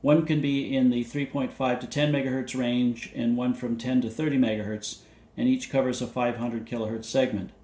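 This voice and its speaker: A man presenting a scripted technical overview in a measured, matter-of-fact way, reading out details methodically. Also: mid-range voice, steady tone, a little mellow. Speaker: presenter of vintage radio videos. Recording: roomy, echoey indoor sound.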